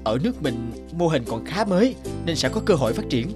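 A man's voice: fairly high pitch, very animated, fairly quick. A man playing an earnest, determined young farmer son in a cartoon, explaining things in earnest. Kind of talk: animated cartoon dialogue. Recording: background music under speech.

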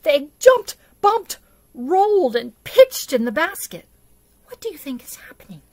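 A middle-aged woman reads and discusses a picture book for young children, expressively and deliberately, with dramatic emphasis. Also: high-pitched, sing-song. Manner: deliberate, asks the listener questions, dramatic hushes and emphasis. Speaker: storyteller for a church children's program. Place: small indoor setup before a plain backdrop.

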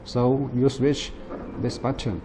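A man in his thirties, a non-native English speaker, giving a plain, halting, step-by-step product demonstration. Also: mid-range voice, steady tone, dark tone, faint music behind, low-quality recording. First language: not English. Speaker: product presenter. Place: indoor office at a table.

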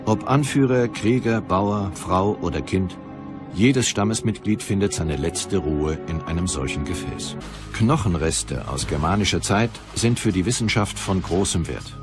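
A man narrating a scripted documentary in a measured, factual manner. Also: deep voice.

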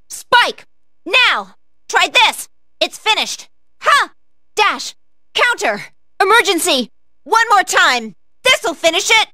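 A woman's voice: very high voice, bright, forceful tone, no echo, clean studio sound, heavily acted. A woman playing an earnest, polite young female android fighter in a video game, calling out clipped, formal battle commands. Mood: battle-focused. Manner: clipped, formal machine-like status callouts.

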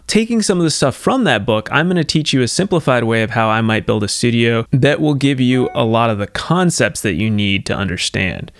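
A young man hosting a how-to lesson from his small home studio, speaking into a studio mic on a boom arm, animated and upbeat. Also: no room sound. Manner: animated, talks with hands, upbeat. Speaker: soundproofing educator, channel host. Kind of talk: energetic how-to explainer to camera. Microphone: studio microphone on boom arm. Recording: dry, close-miked studio sound.

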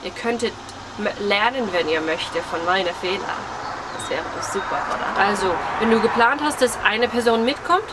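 A young woman around twenty talks in a casual vlog style at a medium pitch. She is hesitant and self-deprecating, often searching for the right word. She is recorded outdoors, with clear ambient and traffic noise behind her. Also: moderate pace. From Germany, living in New York. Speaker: vlogger. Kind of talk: casual, rambling vlog chat to camera. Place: outdoors on a porch among trees. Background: outdoor ambience, passing cars.

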